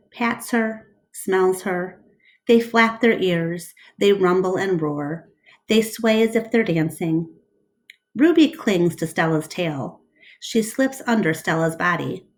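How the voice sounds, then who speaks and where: Woman, storybook reader, slightly low voice, very animated intonation, deliberate storytelling. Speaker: reader of a children's book. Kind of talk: storybook read-aloud.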